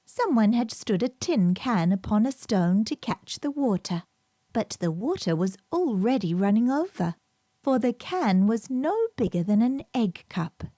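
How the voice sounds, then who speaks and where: A woman narrating a scripted children's story as a storybook reader, with gentle music behind her. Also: fairly high pitch, big pitch swings, measured pace, dry recording, studio quality. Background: gentle background music.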